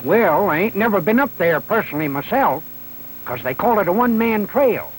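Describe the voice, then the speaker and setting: An actor playing a folksy, talkative old trail hand in a 1930s western, with a rambling rural Western American drawl. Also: male voice, high voice, lively intonation, normal speed. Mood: folksy, cautioning. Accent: rural American West. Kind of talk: 1930s B-western film acting. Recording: noisy old 1930s film soundtrack.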